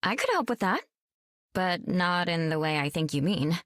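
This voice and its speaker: An actress playing a calm, steady female superhero and wife in a video game, warm and reassuring. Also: fairly low pitch, moderate pace, no room sound, studio quality.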